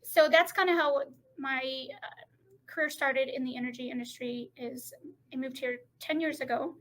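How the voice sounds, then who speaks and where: A middle-aged woman speaking as a podcast guest in a halting, unhurried way, pausing often to find her words. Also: high voice, fairly even intonation, a bit bright. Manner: halting, frequent pauses and restarts. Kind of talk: casual interview talk.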